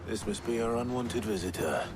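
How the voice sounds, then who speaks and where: An actor playing a stoic, dependable fantasy hero in a video game, with a terse, measured, dry way of speaking. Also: male voice, mid-range voice, lightly acted. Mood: dry, weary. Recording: loud music behind the voice.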